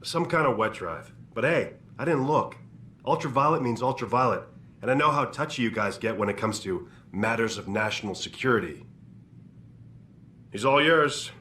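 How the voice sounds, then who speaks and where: A man's voice: medium pitch, animated, normal speed, pushed and bright, slight background noise. A man voicing a weary male coroner in a game, talking in a dry, offhand way.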